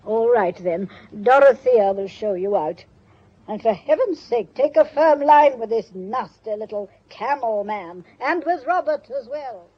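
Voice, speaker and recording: An actress playing a haughty, sharp-tongued English grandmother of nearly ninety in a radio drama, bossy and old-fashioned in her turn of phrase. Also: a little high, animated, unhurried, breathy edge. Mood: indignant. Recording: dull, band-limited old radio recording.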